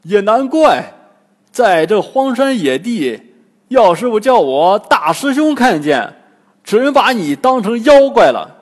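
A man doing a loud, blustering pig-monk. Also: sits high, very animated, takes it slowly, voice pushed up, full character voice. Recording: long reverb.

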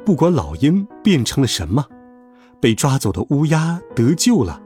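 A man narrating a children's story in an expressive, scripted storytelling style. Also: medium pitch, normal speed. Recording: music behind the voice. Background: music.

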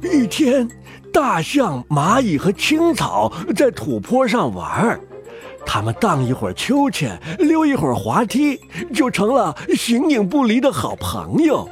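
A man narrating a children's story in an expressive manner. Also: high-pitched, unhurried. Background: music.

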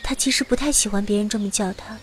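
A woman's voice: medium pitch, fast, soft background music, natural voice. An actress playing an earnest young female adventurer who narrates her story in a fantasy radio drama. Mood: subdued, sad.

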